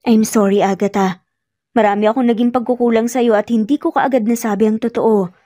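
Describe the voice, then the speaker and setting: A woman's voice: on the high side, fairly even intonation, brisk, mellow, no echo, studio quality, close to an everyday voice. Woman voicing an earnest pleading young man in love. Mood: earnest, tender. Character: remorseful, pleading young male boss in love. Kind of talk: dramatic romance storytelling.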